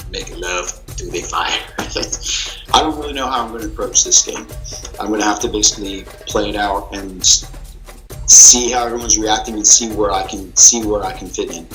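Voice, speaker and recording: A man's voice: mid-range voice, bright, forceful tone, poor audio. A man in his forties from New Jersey, talking bluntly and casually in a pre-game interview, swearing freely. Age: 40s. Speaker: contestant.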